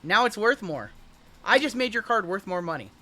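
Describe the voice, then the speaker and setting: Hyped live-stream card break host, a man with a high, bright, strained voice calling out cards. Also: sing-song, moderate pace. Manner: hyped, joking, calls out cards as they are pulled. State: voice going hoarse from shouting. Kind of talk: livestream card break commentary.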